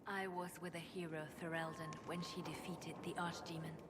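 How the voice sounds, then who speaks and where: A woman acts a devout female spymaster in a video game, carrying grief with solemn restraint. Her voice is on the low side and measured. It rings in an echoing hall, with clear noise behind it. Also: level tone, close to an everyday voice. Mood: sorrowful, subdued. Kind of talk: video game cutscene acting. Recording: echoey hall, loud background noise.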